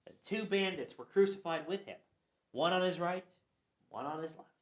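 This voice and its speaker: A man's voice: sits high, moderate pace. A man narrating scripture for a church radio play, reading earnestly and sometimes stumbling. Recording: echoey room.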